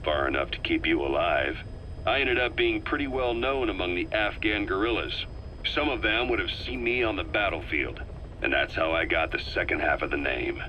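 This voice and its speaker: An actor voicing a worldly, self-assured military interrogator in a video game, wry and loyal, telling things in a measured, explanatory way. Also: male voice, low voice, pushed and bright, a little hiss or hum, low-quality recording. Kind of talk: scripted video game voice acting.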